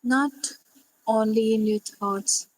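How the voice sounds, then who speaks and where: A woman leading a guided sleep meditation, speaking gently and soothingly in short phrases with long pauses. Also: on the high side, fairly even intonation, slight background noise, low-quality recording.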